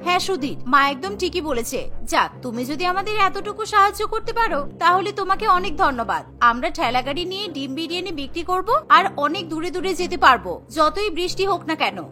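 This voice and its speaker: A woman plays a young daughter-in-law in a cartoon, caring and earnest. Her voice is very high-pitched and bright. There is soft music behind her. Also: sing-song, fast talker, hardly any pauses, exaggerated voice. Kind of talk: cartoon voice acting.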